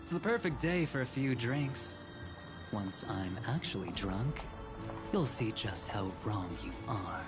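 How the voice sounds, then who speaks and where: An actor playing a carefree, daring gambler and drinker in a game, speaking with wry, unhurried drama. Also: male voice, lowish voice, animated. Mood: defiant, daring. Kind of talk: dramatic scripted game dialogue. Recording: loud music over voice.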